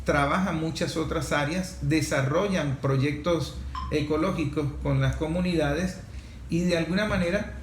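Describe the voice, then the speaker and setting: A man's voice: a little high, measured pace, a little hiss or hum. A man with a Venezuelan accent hosting a talk programme, speaking earnestly and repeating phrases for emphasis. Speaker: programme host. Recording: roomy echo, faint music behind.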